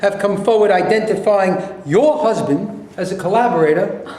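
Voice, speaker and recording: Man acting firm government investigator, high male voice, formal, insistent, stage reading, heavy room echo. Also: normal speed, dark tone, natural voice. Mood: firm, pressing. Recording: very echoey room, light noise.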